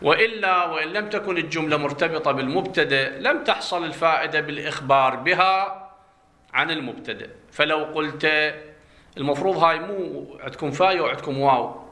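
Bright-voiced Iraqi Arabic teacher. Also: male voice, fairly high pitch. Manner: poses questions to the class and answers them himself; drawn-out stressed words when explaining terms. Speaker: grammar teacher. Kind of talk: classroom lecture explaining a classical text.

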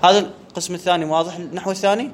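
A man with a high voice gives a grammar lesson. He keeps asking the class questions, answers them himself and repeats his key terms. A faint hiss runs under the recording. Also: steady tone, racing. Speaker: grammar teacher. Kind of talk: classroom lecture on Arabic grammar.

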